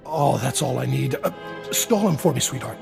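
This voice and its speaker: Game crime boss: heavyset, gruff, gravelly, wry and worried, clear music underneath. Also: male voice, medium pitch, lively intonation, moderate pace. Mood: harried. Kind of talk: video game character dialogue.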